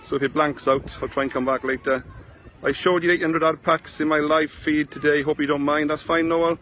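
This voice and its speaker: A rambling, chatty man with a thick South Wales valleys accent, talking into a phone at arm's length outdoors. His voice sits a little high for a man and stays fairly flat in pitch. Faint outdoor noise hums behind him. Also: a little fast. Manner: rambling, chatty, greets viewers by name. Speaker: online marketing vlogger. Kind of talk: casual Facebook live chat. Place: sunny beach outdoors. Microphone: phone held at arm's length.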